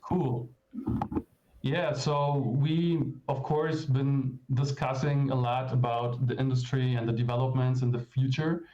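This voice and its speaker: A man's voice: mid-range voice, little pitch movement, measured pace, warm tone. A German man with a German accent hosting a casual livestream interview, feeling his way through his questions. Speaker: interviewer and host of a games event. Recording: dull, roomy livestream audio.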